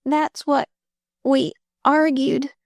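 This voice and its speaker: A woman voicing a dying young girl who speaks in faint, breathy gasps with rustic slang. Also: high-pitched, little pitch movement, extremely slow, no echo, clean studio sound, pitched above the performer's own voice. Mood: gasping pleading. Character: dying young girl, weak, frightened, defiant.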